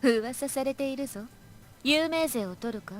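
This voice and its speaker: Young visual novel character, female, fairly high, very expressive, soft music behind. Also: brisk, no echo. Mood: guarded. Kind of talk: Japanese visual novel voice acting.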